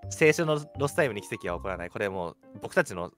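A young man co-hosting a casual radio chat, talking in an animated, joking way. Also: on the low side, quick pace. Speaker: radio show host, audio drama creator. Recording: background music under speech. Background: music.

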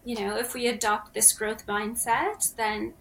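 A young adult woman, talking thoughtfully about herself in a casual, measured way. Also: on the high side, little pitch movement, a bit bright, poor audio. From Canada, born in Egypt. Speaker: roundtable guest, PhD researcher. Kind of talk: casual self-introduction. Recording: faint background noise on online call.

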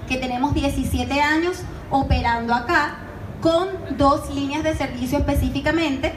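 High-voiced Venezuelan woman in an echoey hall. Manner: measured, checks in with "¿ok?". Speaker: guest speaker at a university. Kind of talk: presentation to students. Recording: echoey room with noise.

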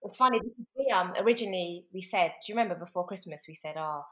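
A young London woman with a London accent and a lowish voice, chatting as an interview guest. She tells stories in a lively, animated way, full of fillers and quoted thoughts, recorded over a remote call. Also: very fast. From London, England. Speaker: football agent, guest. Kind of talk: casual storytelling interview.

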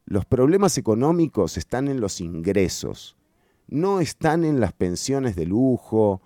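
Radio host, male; slow, emphatic opinion delivery, dry studio sound. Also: fairly low pitch, dark tone, dry recording. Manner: emphatic, repeats key phrases for stress. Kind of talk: political opinion commentary.

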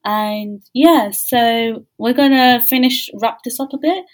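A woman's voice: on the high side, slow. A woman hosting a podcast, speaking in a casual, conversational interview style. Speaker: podcast host.

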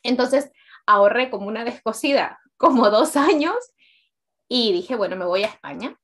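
Woman, late twenties, Peruvian accent, fairly high voice, candid personal storytelling, conversational, repeats words for emphasis. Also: animated, normal speed, a bit bright. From Peru. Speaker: interview guest.

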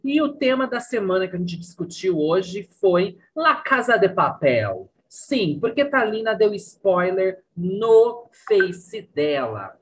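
A woman with a Brazilian accent and a husky voice, presenting a show as host in an upbeat style. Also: medium pitch, very animated, takes it slowly, hardly any pauses.

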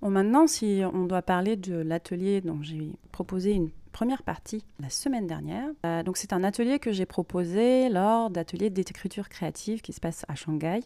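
A woman hosting a podcast, explaining things informally in a monologue and pausing as she thinks aloud. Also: fairly low pitch, mellow, no room sound. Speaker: podcast host and creative writing workshop leader.

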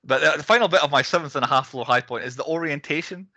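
A man hosting a casual film discussion, talking in a rambling way with animated asides. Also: on the high side, fast talker, no echo. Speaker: podcast host.

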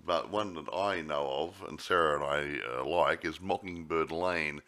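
Man, very deep voice, bright tone, slightly croaky, jokey casual film chat. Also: moderate pace, slight background noise. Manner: chatty, jokey, lots of yeah fillers. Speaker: podcast co-host.

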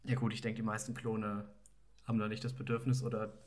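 A man's voice: lowish voice, monotone, brisk, darkish tone. A man co-hosting a podcast, chatting casually about a TV episode and explaining background with filler words. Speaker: podcast co-host.

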